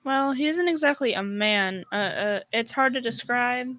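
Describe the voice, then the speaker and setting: Radio comedy ditz played by a woman: young secretary, high-pitched and sharp, sweetly naive. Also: animated, normal speed. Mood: gushing, naive. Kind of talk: 1940s radio comedy acting.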